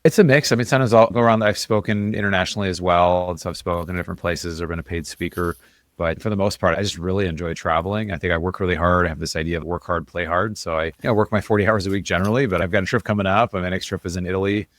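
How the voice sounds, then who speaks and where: A man with a very deep voice talks as an interview guest. His delivery is quick and casual, like relaxed storytelling in conversation. The sound is dry and close, with no room echo. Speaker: interview guest, investor and author.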